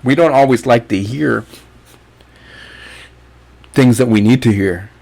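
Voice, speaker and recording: Man from guyana, casual screen-share rant, blunt and profane, middle-pitched voice, mocking tone. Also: a little slow, gravelly, a little mellow, poor audio. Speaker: online income youtuber.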